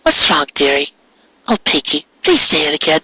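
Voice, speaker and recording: A man voicing a polite, motherly female pony in a story, with a gentle and hesitant manner. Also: a little high, sing-song, normal speed, breathy edge, no room sound, full character voice. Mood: concerned.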